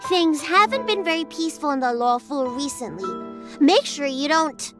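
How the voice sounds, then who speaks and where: A woman's voice: high voice, lively intonation, moderate pace, over music. A woman voicing a small, proud, bossy young girl doctor in a video game, speaking in an emphatic, haughty way. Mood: self-important. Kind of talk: video game character acting.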